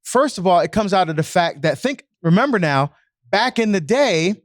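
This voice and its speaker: A man's voice: sits high, animated, moderate pace, dry recording, clean studio sound. A man, a parish priest, talking in a casual podcast discussion and telling stories with frequent restarts. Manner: storytelling, frequent restarts and repeated words.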